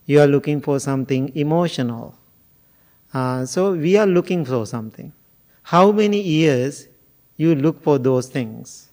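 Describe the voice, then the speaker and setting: Slow-speaking monk from Sri Lanka. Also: male voice, on the high side, expressive, a little mellow. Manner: slow, musing, jokes and asks the audience questions. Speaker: Buddhist monk teacher. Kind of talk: informal dharma talk to a group.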